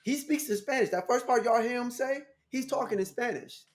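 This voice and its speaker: An American man in his thirties, a guest musician telling stories in a casual interview, animated and laughing often. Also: brisk. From United States. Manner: animated, laughing, jumps into high voice for quotes.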